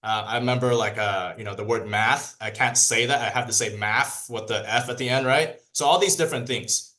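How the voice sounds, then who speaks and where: A man whose first language is Cantonese, giving a lively training talk to a group, asking them questions and repeating key points. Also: medium pitch, a little fast. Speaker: sales trainer.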